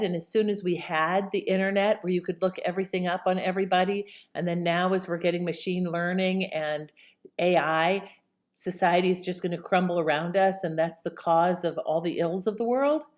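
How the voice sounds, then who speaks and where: Female commentator, somewhat low voice, informal and reflective conversational delivery. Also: fairly even intonation, normal speed. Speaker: host. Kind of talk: casual opinion commentary.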